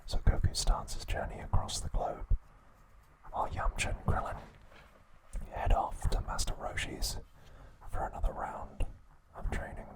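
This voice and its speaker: A man narrating a scripted recap in a soft, breathy ASMR whisper, slow and deliberate, in short phrases. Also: low-quality recording.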